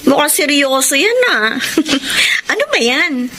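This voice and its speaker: High-voiced young woman in love. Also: sing-song, slow pace, bright, forceful tone, slight background noise, exaggerated voice. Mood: playful, proud. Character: devoted young woman in love, teasing with friends. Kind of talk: Tagalog radio drama acting.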